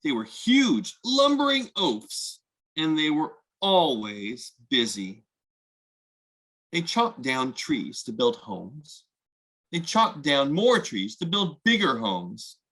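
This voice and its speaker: Slow, animated man reading a children's story. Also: fairly high pitch. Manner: expressive, deliberate, inviting listeners to join in. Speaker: read-aloud host, storyteller. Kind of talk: children's picture book read-aloud.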